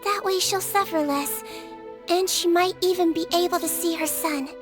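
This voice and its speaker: A woman voicing a small, childlike Melusine head nurse in a video game, gentle, earnest, polite and measured. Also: extremely high pitch, music in the background. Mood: resolute. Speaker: nurse and doctor character.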